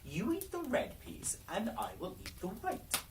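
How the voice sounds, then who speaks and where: Man putting on a high-pitched sly old peddler woman. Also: huge pitch swings, a little slow, distant mic, heavy background noise, heavily acted. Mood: coaxing, sly. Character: sly old countrywoman peddling poisoned apples.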